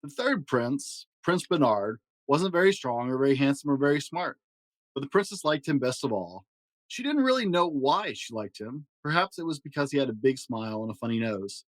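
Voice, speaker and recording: A man narrating a children's story aloud in an expressive, animated way with dramatic pauses. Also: medium pitch, no room sound. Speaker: podcast host reading a children's storybook aloud.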